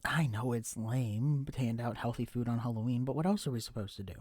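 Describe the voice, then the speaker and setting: A man's voice: medium pitch, brisk, darkish tone, no room sound. Male, middle register, playing an affectionate husband in casual, intimate audio roleplay.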